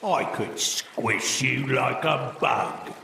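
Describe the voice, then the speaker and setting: A gravelly, rasping troll with a sharp, edgy tone, gloating and menacing as he draws out his threats. His voice swings widely in pitch, heard in an echoey room with a long reverb tail. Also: animated, a little hiss or hum, heavily acted. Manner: gloating, menacing, drawn-out growls. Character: mean, hungry male troll guarding a bridge.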